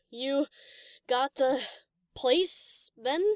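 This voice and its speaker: A woman's voice: high voice, drawn-out words, dry recording, clean studio sound. A woman playing a casual, teasing young female DJ pony in an audio story, with playful, slangy delivery. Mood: breathless surprise. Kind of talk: acted audio story dialogue.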